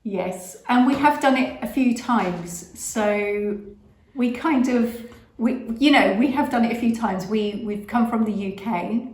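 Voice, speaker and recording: A woman's voice: on the high side, measured pace. A middle-aged British-born woman whose first language is English, co-hosting a casual chat vlog, rambling with restarts. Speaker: vlog co-host. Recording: echoey room.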